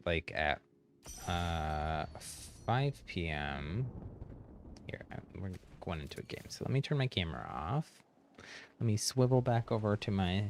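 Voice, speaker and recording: Low-voiced man chatting on a game stream. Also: a little hiss or hum. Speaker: live streamer. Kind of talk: casual game livestream chat.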